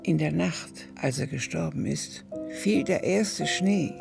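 Very creaky, insistent woman. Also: sits low, takes it slowly, music in the background, full character voice. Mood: worried, solemn. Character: worried, insistent companion of an old grieving man. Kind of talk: radio drama acting.

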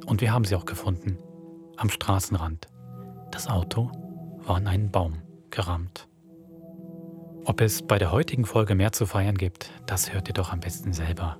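A man's voice: deep voice, normal speed, long pauses, over music. A man narrating a scripted introduction to a horror audio drama as podcast host, reading from a script.

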